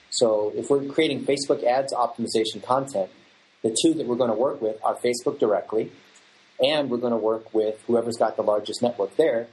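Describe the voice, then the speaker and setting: Assured man pitching a marketing approach. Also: darkish tone, low-quality recording. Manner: assured, promotional, lists points. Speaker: guest, marketing expert. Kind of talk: confident explanation.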